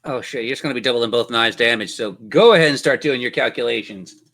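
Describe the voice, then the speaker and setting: A man's voice: mid-range voice, fast, a bit bright. A man running a tabletop role-playing game as game master, narrating with playful, theatrical turns of phrase.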